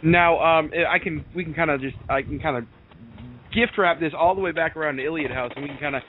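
A man's voice: on the high side, fast. A man co-hosting an interview in a casual, chatty style. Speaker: co-host interviewer. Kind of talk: casual chat. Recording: tinny phone-like audio with clear noise.

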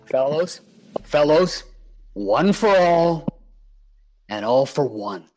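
A man's voice: on the high side, expressive, slow, darkish tone, rough recording. A man acting a smug, scheming, self-important store slacker in a pompous, dramatic way at a live comedy read. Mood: rallying.

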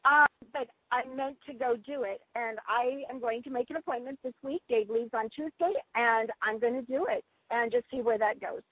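Woman on a phone call, high voice, upbeat and rambly, tinny line. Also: normal speed, hardly any pauses, slightly rough, no echo. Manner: chatty, upbeat, many ums and uhs. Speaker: caller. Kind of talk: casual chat.

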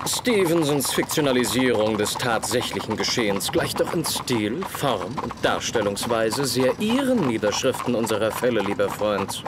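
An actor playing an erudite, condescending Victorian detective in a radio play, lecturing in a pedantic, superior way. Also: male voice, mid-range voice, very animated, normal speed, few pauses, very noisy, natural voice. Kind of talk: German radio play dialogue.

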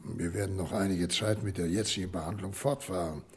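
A paternal older family doctor in a radio drama. His voice is low and very rough and husky. He speaks in a measured, grave way, kindly consoling. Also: steady tone. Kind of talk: radio play acting.

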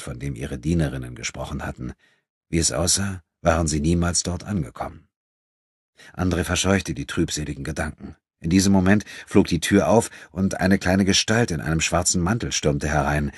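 A man's voice: low voice, slightly rough, dry recording, clean studio sound. A man reading a novel as a scripted, dramatic audiobook narration.

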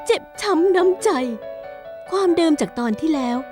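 A woman's voice: very high voice, lively intonation, normal speed, music in the background. A woman giving dramatic, theatrical scripted narration for a cartoon. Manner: grand, theatrical announcer delivery.

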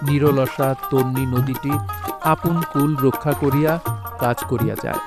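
A man narrating a literary audio drama in a measured, poetic recitation. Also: medium pitch, fairly even intonation, loud music behind the voice.

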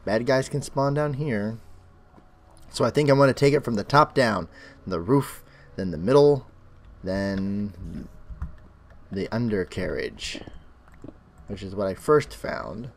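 A young man hosting his own Minecraft let's play, chatting as he plays, his voice crackly and bass-heavy from illness. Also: expressive, slow, long pauses. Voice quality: extra bass from an allergic reaction. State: sick, crackly voice. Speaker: gaming channel host.

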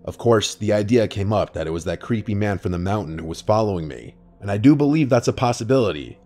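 Low-voiced man narrating hushed horror. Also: animated, quick pace. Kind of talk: scripted first-person horror narration. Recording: soft music underneath.

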